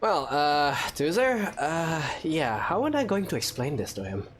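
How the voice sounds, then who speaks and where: A man's voice: a little high, very animated, a little slow. A man giving casual, hesitant game commentary, musing aloud as he plays and reads dialogue choices. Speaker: gaming video host. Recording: game music behind the voice.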